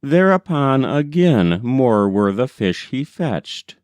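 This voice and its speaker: A man reading a folk tale as scripted audiobook narration, deliberate and expressive. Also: mid-range voice, clear, resonant tone, no echo. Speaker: audiobook reader.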